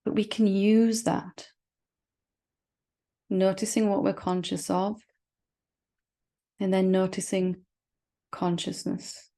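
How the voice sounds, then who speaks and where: A woman leading a guided meditation as a spiritual teacher, speaking gently and reassuringly with long, unhurried pauses. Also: mid-range voice, flat delivery.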